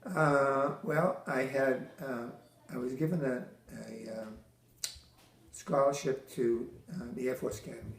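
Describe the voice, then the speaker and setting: An older man from Massachusetts, a military veteran, answering interview questions with halting restarts, many fillers and dry teasing humor. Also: mid-range voice, fairly even intonation. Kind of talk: oral history interview. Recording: echoey room.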